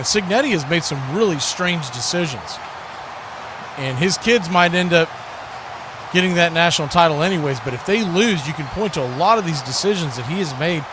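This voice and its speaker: A man's voice: fairly high pitch, noisy background. A man calling American football live as a play-by-play commentator. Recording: loud crowd roar and music behind.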